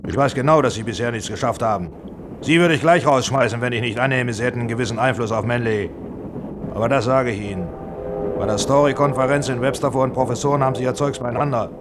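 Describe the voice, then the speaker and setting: Ein Schauspieler spielt in einem deutschen Hörspiel einen herrischen, ungeduldigen Filmproduzenten, knapp und umgangssprachlich. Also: male voice, mid-range voice, fast talker, noisy background, close to an everyday voice. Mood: stern, threatening. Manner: curt, colloquial, commanding. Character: bossy, impatient, blunt Hollywood film producer. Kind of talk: German radio drama acting.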